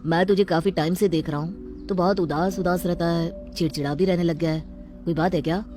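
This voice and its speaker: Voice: medium pitch, steady tone, fairly quick, over music, natural voice. A performer voicing a casual male friend who talks in a chummy, probing way in a storybook drama. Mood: concerned.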